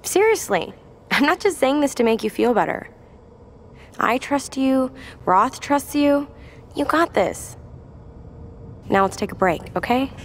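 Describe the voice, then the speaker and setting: A woman's voice: high voice, expressive, measured pace, long pauses, slight background noise. A woman playing an upbeat, teasing and loyal young friend in a video game cutscene. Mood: reassuring.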